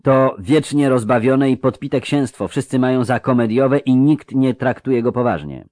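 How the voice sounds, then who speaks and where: Diplomatic storybook plotter, congested nose, measured and composed male narrator acting, dry recording. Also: medium pitch, a little mellow, no room sound, voice pushed up, natural voice. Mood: assured. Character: composed conspirator with a head cold, conciliatory.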